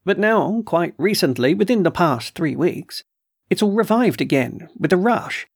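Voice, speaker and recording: A man reading aloud and voicing an excitable, blustering military colonel with emphatic outbursts. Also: sits high, measured pace, slightly rough, no room sound, clean studio sound, voice pushed up, exaggerated voice. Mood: agitated. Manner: agitated, emphatic, snorting outbursts. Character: blustering excitable middle-aged male colonel.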